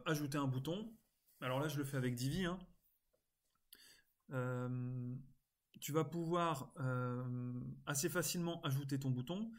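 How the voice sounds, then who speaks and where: A man presenting an informal screen-recorded software tutorial, explaining step by step in a casual way with frequent little interjections. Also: medium pitch. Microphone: screen-recording voice-over.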